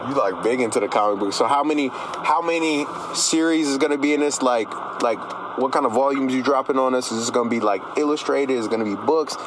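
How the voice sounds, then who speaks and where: A man's voice: medium pitch, fast, noisy background. A man hosting a casual, slangy radio interview in an enthusiastic, informal and affirming way. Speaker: radio show host interviewing a musician.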